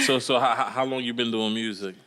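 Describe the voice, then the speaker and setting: A man's voice: mid-range voice, fairly even intonation, fairly quick, pushed and bright. A man hosting a podcast in casual chat, using loose slang and restarting his sentences often. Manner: loose slang-filled talk with many restarts. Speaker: podcast host.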